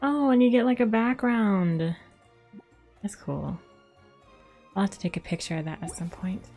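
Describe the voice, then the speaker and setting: A woman's voice: mid-range voice, fairly even intonation, normal speed, dark tone. A woman streaming a video game, giving casual live commentary as she plays. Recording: game music behind the voice.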